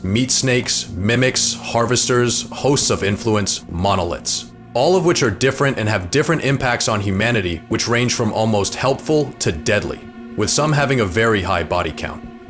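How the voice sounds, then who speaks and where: Male explainer narrator over background music. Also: mid-range voice.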